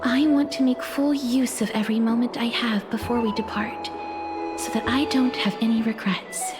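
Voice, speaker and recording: A woman voicing a gentle, melancholy young woman in a video game, with soft, breathy, solemn and formal delivery. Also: a little high, fairly even intonation, natural voice. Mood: gentle, earnest. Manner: measured, solemn, formal phrasing. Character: gentle, melancholy young woman resigned to fate, touched by death. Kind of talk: English video game voice acting, dramatic monologue and dialogue. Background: game music.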